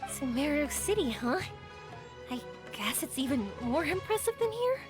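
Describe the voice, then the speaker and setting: A woman's voice: high voice, big pitch swings, moderate pace, over music. A woman voicing a bubbly, enthusiastic young woman traveller in a video game, eager and exclamatory. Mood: awed, excited.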